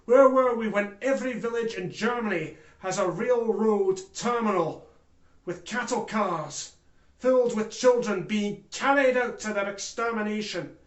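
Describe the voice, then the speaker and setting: Man playing remorseful German ex-judge, male, theatrical courtroom monologue, grave oratory, higher-pitched voice, roomy echo. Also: dull audio, lightly acted. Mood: impassioned, accusing. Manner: grave, deliberate oratory with swells from hushed to loud. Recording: echoey room.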